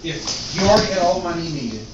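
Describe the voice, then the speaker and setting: A man's voice: a little high, expressive, quick pace. Distant hesitant man asking questions. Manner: hesitant, repeats words. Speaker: interviewer. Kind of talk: casual interview questions. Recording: distant, very echoey, muffled, noisy room.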